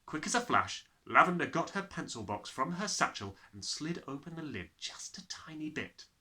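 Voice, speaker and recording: A man reading a children's story aloud with expressive, dramatic narration. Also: on the high side, moderate pace. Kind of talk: animated scripted narration.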